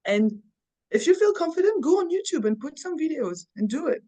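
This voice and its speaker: A woman's voice: high voice, very animated, brisk, warm tone. A woman from Belgium, a podcast guest, talking earnestly and telling personal stories in casual conversation with frequent restarts.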